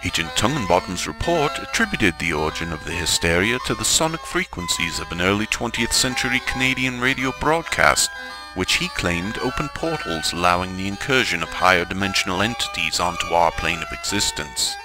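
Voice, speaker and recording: Announcer for mock-serious vintage radio thriller: man, deep, portentous, scripted, over music. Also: lively intonation, a little fast, rough recording. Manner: formal, solemn, portentous. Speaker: narrator, announcer.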